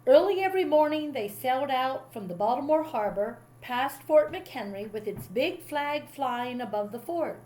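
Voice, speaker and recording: A woman with a fairly high voice reads a story aloud in the manner of a teacher. She narrates expressively, leaning on the dramatic words. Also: lively intonation, normal speed, few pauses, darkish tone, low-quality recording. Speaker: teacher reading a picture book to a class.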